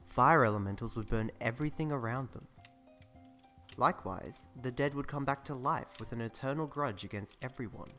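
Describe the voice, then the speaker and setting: A man narrating fantasy lore for a video game cutscene in a measured, grave storytelling manner. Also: mid-range voice, sharp tone, faint music behind, close to an everyday voice. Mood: grave, foreboding.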